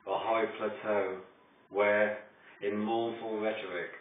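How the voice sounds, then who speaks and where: A man gives a solemn poetry reading in deliberate, declamatory phrases broken by dramatic pauses. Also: fairly low pitch, a little hiss or hum. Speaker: poet reading own work. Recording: distant, very echoey, thin phone-like sound.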